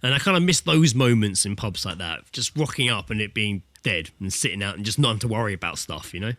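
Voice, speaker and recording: A British man chats casually as a podcast co-host. His delivery is fast and bright, full of loose swearing and quoted asides. The sound is close and dry. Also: lowish voice, no echo. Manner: sweary, animated storytelling with acted-out quotes. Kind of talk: casual chat, telling pub anecdotes. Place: remote online call from a dry-sounding room.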